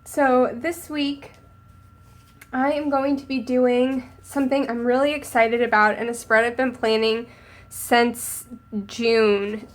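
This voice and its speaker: A young woman with a cold, a little hoarse and sniffly, chatting casually as she narrates decorating her planner. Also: fairly high pitch, steady tone, takes it slowly. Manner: chatty, upbeat, thinking aloud while crafting. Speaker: planner vlogger.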